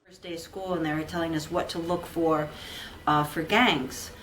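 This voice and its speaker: A woman's voice: low voice, fairly even intonation, normal speed, a bit bright, a little hiss or hum, poor audio. A middle-aged woman giving a documentary interview, articulate and thoughtful, explaining her points.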